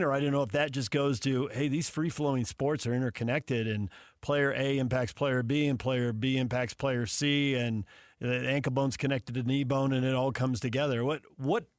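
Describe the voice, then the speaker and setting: Mid-pitched male radio host, dry sound. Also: no room sound. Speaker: sports radio host, interviewer. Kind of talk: conversational sports radio interview with long, anecdotal questions.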